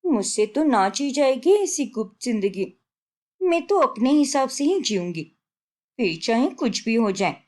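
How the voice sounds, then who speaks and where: Lady doing a braggy young bahu for a cartoon story, super animated, pushy, takes her time. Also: on the high side, a little slow. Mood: stubborn, argumentative. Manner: bragging, insistent, peppers Hindi with English. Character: showy, boastful young newlywed daughter-in-law.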